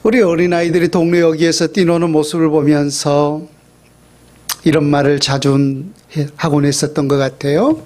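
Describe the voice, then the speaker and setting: A middle-aged man gives a sermon as a pastor, in a relaxed, conversational tone. His voice sits a little on the high side for a man. He drops in small fillers and draws out the words he wants to stress. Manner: conversational, with fillers and slow emphatic phrases.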